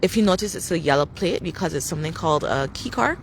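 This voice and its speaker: A woman's voice: lowish voice, lively intonation, fast talker, a little hiss or hum. A young woman from Barbados with a Barbadian accent, chatting casually on a phone live stream outdoors on a city street, rambling with many fillers and restarts and laughing often. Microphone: phone camera.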